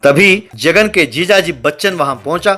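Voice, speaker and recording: Male narrator for scripted comedy stories: very high, sharp tone, light music underneath. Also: normal speed. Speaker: story narrator.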